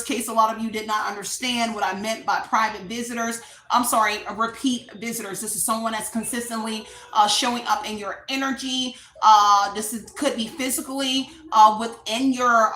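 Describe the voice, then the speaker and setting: A loud, chatty woman hosts a tarot reading from home. Her voice is bright and a little high, and it rings in an echoey room. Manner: chatty, loud, addresses viewers as y'all. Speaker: tarot reader and channel host. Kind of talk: casual livestream tarot reading.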